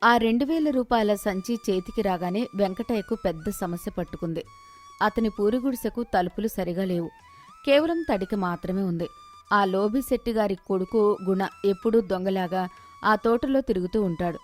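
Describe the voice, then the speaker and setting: A woman's voice: medium pitch, moderate pace, faint music behind. A woman giving scripted narration of a moral story as its storyteller.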